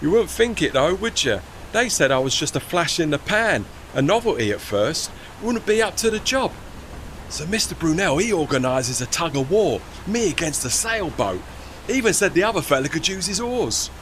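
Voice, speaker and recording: Man playing a plucky, high-voiced steam tugboat. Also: big pitch swings, moderate pace, a bit bright, slight background noise. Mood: cheeky pride. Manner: colloquial, folksy, non-standard grammar. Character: plucky working-class male steam tugboat, cheeky and proud. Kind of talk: audio drama acting.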